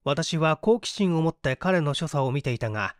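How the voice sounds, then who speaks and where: A man reading a mystery story as a scripted dramatic narration, with deliberate theatrical storytelling. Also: on the high side, expressive, dry recording, clean studio sound. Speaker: audiobook narrator, stage performer.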